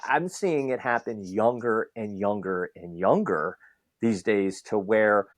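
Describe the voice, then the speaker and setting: A man's voice: fairly low pitch, takes it slowly, dry recording. A man hosting a podcast, talking conversationally and building long, reflective questions. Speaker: podcast host. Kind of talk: conversational interview.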